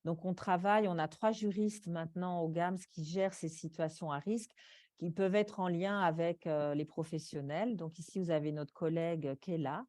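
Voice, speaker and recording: A woman's voice: on the low side, normal speed, mellow, no room sound. A woman giving an explanatory conference presentation, speaking hesitantly into a microphone. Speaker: presenter from an advocacy organisation.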